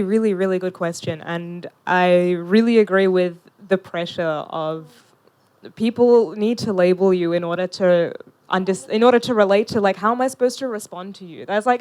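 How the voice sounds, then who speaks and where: Young woman panel guest, lively conversational delivery, handheld mic, outdoors. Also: medium pitch, a little fast. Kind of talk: casual panel discussion. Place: outdoor park pavilion.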